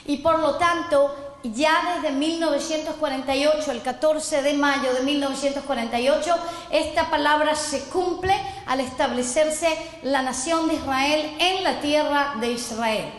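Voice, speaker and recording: A woman's voice: high voice, measured pace. A middle-aged woman from Chile giving an earnest sermon lesson, reading scripture and asking listeners to repeat after her. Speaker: messianic apostle teaching. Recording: echoey hall, roomy long reverb.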